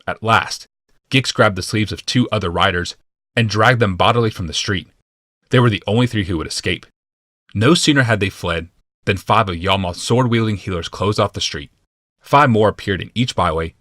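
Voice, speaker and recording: A man narrating a fantasy audiobook from a script in a measured, deliberate and dramatic manner. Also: on the low side, a bit bright, no room sound, studio quality.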